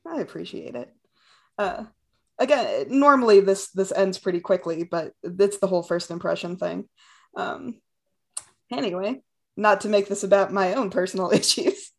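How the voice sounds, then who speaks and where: A woman's voice: medium pitch, lively intonation, normal speed. A woman in casual podcast chat who talks hesitantly and makes fun of herself, with many "um"s. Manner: self-deprecating, hesitant, frequent fillers.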